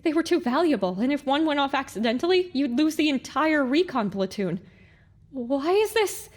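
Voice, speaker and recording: A small, bossy young mare played by a woman in an audio drama, high-pitched and very animated. She talks briskly and sarcastically, in a close, dry recording. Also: no room sound. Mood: brisk, matter-of-fact. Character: small young mare, feisty tinkerer heroine, bossy, exasperated.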